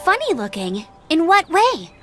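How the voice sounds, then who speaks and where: A woman playing a brisk, confident young female knight scout in a video game, energetic, earnest and decisive. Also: very high voice, over music, heavily acted. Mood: curious, questioning.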